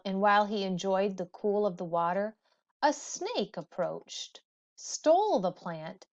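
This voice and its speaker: Slow, deliberate woman reading a story aloud. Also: mid-range voice. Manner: slow, deliberate, dramatic pauses. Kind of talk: expressive storybook read-aloud. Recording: dull, muffled lo-fi audio.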